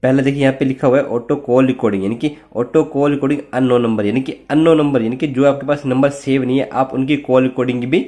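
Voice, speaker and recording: A man's voice: mid-range voice, fairly even intonation, quick pace, few pauses, mellow. A man with an Indian accent hosting an informal tech tutorial, explaining each step in an instructional, repetitive way with frequent check-in tags. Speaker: host of a tech tutorial channel.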